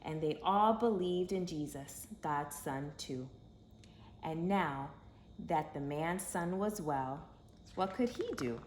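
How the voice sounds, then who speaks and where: A woman reading a children's story aloud in an expressive, dramatic way, with emphatic phrasing for young listeners. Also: low voice, slow. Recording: echoey room with faint background noise.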